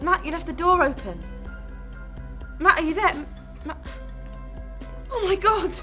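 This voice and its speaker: A driven, anxious female reporter acted by a woman in a radio drama. Her voice is high and sharp, over faint music. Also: expressive, measured pace, exaggerated voice. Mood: frightened.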